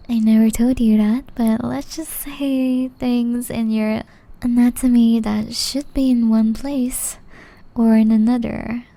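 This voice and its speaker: A gentle, ageless witch voiced by a woman speaks in a fairly high but soft and mellow voice. She takes her time, often slipping into a breathy whisper. Her manner is caring and tenderly teasing, made for close, intimate ASMR roleplay. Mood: lightly teasing. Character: gentle, centuries-old witch, caring, softly chiding healer.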